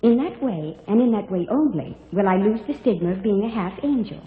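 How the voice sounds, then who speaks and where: A woman's voice: medium pitch, lively intonation, brisk, lightly acted. A woman playing a prim, righteous angel in an old radio play, speaking in haughty, formal, preachy diction. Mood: solemn, preachy. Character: prim, righteous female angel stepped out of a painting. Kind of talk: 1940s radio acting. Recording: muffled old radio recording, echoey hall.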